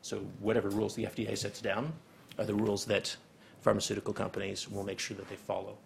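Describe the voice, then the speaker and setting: A young man with a Canadian accent lectures to a seminar, pausing on frequent ums and uhs. His voice is low and heavily gravelly and stays flat in pitch. A faint hiss sits under the recording. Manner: hesitant, many ums and uhs. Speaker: guest lecturer. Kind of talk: academic seminar talk.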